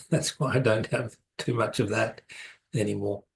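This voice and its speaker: Older New man, low voice. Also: steady tone, a little slow, gravelly. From South Africa, raised in New Zealand. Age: 70s. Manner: rambling, self-correcting, chuckles lightly. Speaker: guest. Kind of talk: relaxed storytelling interview.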